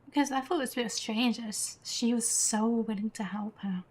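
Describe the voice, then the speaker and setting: A woman's voice: a little high, moderate pace, darkish tone. A woman game streamer giving casual live reactions and commentary on a story game.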